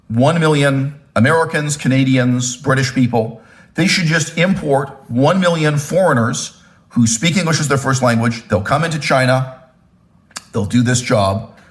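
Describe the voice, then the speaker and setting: A man delivers a spoken commentary in an emphatic lecturing tone, at a medium pitch that leaps upward on the words he wants to land. He talks straight to his viewers and keeps checking in with "right?" and "okay?", moving between slow, weighted phrases and quick asides. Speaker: channel host. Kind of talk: spoken political commentary monologue.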